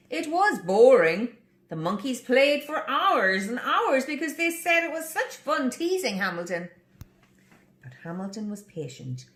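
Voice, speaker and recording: A woman's voice: mid-range voice, a bit bright, echoey room. An Irish woman reading a children's story aloud expressively as a storyteller. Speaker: library storyteller.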